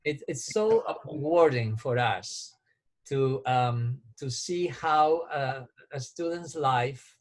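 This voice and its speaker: Male interviewer, calm and measured, a few uh's. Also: mid-range voice. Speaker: interviewer, faculty member. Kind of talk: casual interview.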